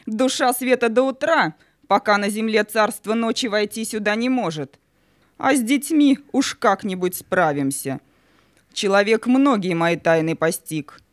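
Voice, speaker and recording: A woman's voice: mid-range voice, measured pace, dry recording, studio quality, lightly acted. A woman playing the imperious, mocking spirit of Night in a children's audio play, grand and menacing in manner. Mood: haughty defiance.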